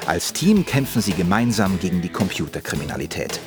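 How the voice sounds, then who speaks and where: A man's voice: sits low, very animated, fairly quick. A man reading scripted, dramatic narration introducing a children's radio play. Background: music.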